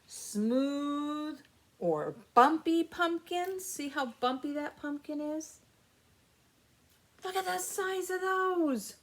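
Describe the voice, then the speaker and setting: A woman hosting story time for young children, reading aloud with expressive emphasis and explaining things simply with questions to the listeners. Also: high-pitched.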